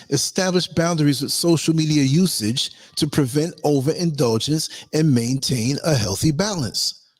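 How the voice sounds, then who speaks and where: A hoarse man hosting a livestream, reading a list of tips and then rambling casually to his viewers. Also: on the high side, no room sound, studio quality. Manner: rambling, chatty, addresses viewers as y'all. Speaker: livestream host.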